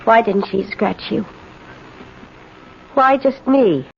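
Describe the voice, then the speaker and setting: A woman's voice: fairly high pitch, slow, vocal fry. An actress playing a bitter, jealous, imperious woman in a 1970s radio drama, with a theatrical, commanding, brooding delivery. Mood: agitated, demanding. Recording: dull, noisy old radio broadcast.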